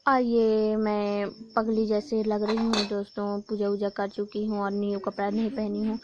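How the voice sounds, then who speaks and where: A young woman from Chhattisgarh, hoarse from a sore throat, chatting casually to her viewers in a home vlog. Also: medium pitch, monotone, moderate pace, dull audio, background noise. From Chhattisgarh, India. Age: young adult. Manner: chatty, keeps addressing viewers as friends. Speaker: vlogger. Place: village home during a festival.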